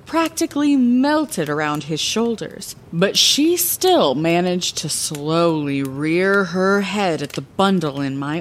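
A woman's voice: fairly low pitch, a little hiss or hum. A woman playing a wry, impulsive lighthouse keeper who narrates her adventures theatrically in a fantasy audio drama. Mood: wistful.

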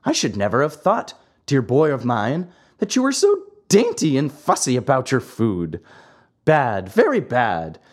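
A narrator voicing a kindly, patient old father in a children's storybook, calmly admonishing and moralizing. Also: mid-range voice, measured pace. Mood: gently scolding. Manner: calm, gently admonishing. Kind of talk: children's storybook character acting.

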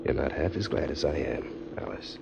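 A man's voice: a bass voice, normal speed, vocal fry, heavy background noise. An actor playing a tough-talking ex-con drifter who narrates his own story in a hardboiled style, 1940s radio drama. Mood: flirting. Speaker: first-person narrator and drifter character. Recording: dull lo-fi old radio recording with music.

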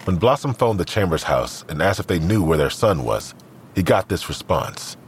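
Low, expressive male narrator. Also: normal speed, slight background noise. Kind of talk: scripted crime drama narration.

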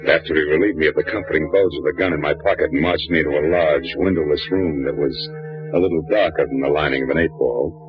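A man's voice: very deep voice, fast. An actor playing a wisecracking, hard-boiled male private eye who also narrates, in a 1940s radio drama. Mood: narrating. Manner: dry, wisecracking, clipped. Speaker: narrator and lead. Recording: dull old radio recording with music.

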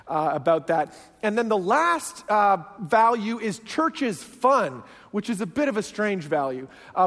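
A man with a Canadian accent, preaching as a guest pastor in a self-deprecating, joking way. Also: high voice. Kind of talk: humorous sermon. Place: large church sanctuary. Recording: long church hall reverb.

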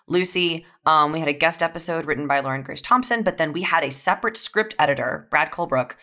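A woman's voice: low-pitched, fast talker, pushed and bright. A woman speaking as a writer guest in a casual panel discussion, emphatically repeating words for stress. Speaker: podcast guest, audio fiction writer.

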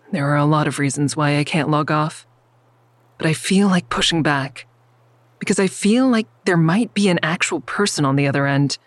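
A woman's voice: sits low, no echo, natural voice. A woman playing a wary, stubborn mother who narrates her risky investigation in the first person in an audio drama. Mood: wary, confiding.

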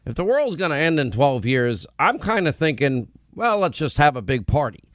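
A man's voice: a little high, normal speed, no room sound, studio quality. A man hosting a talk radio show, talking in a mocking, incredulous way. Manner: mocking, incredulous, animated when quoting. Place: radio studio.

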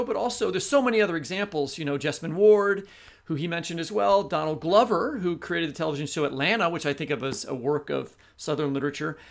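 A man's voice: on the high side, vocal fry. A man hosting a literary podcast, talking conversationally as he interviews a guest.

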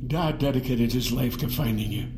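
A man playing a halting, sorrowful old man, roomy sound. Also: mid-range voice, close to an everyday voice. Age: older. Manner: hesitant, halting, apologetic. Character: confused, sorrowful elderly man, long-bereaved twin brother. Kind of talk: audio fiction drama acting. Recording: roomy sound with music behind.

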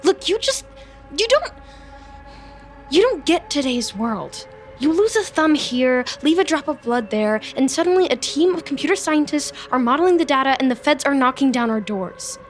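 An actress playing an anxious, overeager young best friend in an audio drama, wordy and flustered, often stammering. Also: female voice, sits high, lively intonation, normal speed, soft background music. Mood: agitated, insistent.

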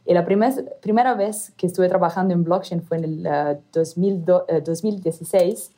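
A woman with an Italian accent and a low, mellow voice. She talks as a founder being interviewed. She stops often, restarts her sentences and fills gaps with pauses. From Italy. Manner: halting, many hesitations and restarts. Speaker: guest, founder and CEO. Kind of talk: casual interview talk.

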